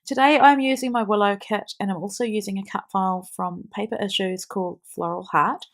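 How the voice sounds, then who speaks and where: A woman's voice: mid-range voice, steady tone, a little fast. A woman with a stuffy nose from a cold, chatting through a scrapbooking tutorial with frequent ums. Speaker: scrapbooking demonstrator. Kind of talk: voice-over craft tutorial.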